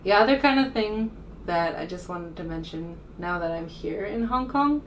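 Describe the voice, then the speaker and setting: A woman from the United States speaks in a casual, rambling vlog style, with a fairly low voice. She is informal and a little hesitant. The mic sits a bit away from her, with faint noise in the recording. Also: lively intonation, moderate pace, distant mic. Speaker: vlogger.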